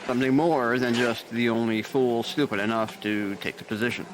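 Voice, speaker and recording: A man's voice: mid-range voice, fairly even intonation, moderate pace, noisy background, natural voice. An actor playing a dry, sarcastic, burned-out male nurse showing a new hire around, in an audio drama. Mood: sardonic.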